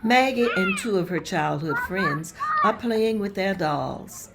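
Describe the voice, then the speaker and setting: A woman narrating a script at a table read, reading scene directions in a plain, steady way. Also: medium pitch, slow, vocal fry, very noisy.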